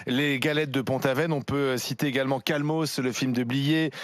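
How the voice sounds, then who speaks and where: A man's voice: medium pitch, fairly even intonation, normal speed, no room sound, studio quality. A man presenting live as a talk radio host, steering a panel discussion.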